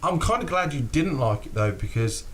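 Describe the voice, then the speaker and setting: Sweary, animated British man chatting. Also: on the high side, normal speed, slight background noise. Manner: animated, sweary, laughs often, acts out quotes. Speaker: podcast co-host. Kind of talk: casual podcast chat.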